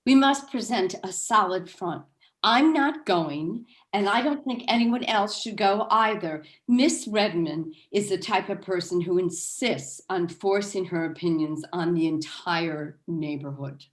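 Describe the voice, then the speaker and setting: A woman's voice: on the low side, measured pace, natural voice. Woman as stubborn, self-righteous suburban housewife. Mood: indignant. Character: stubborn, disapproving, opinionated 1960s suburban housewife. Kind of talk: staged reading of a satirical play. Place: video call.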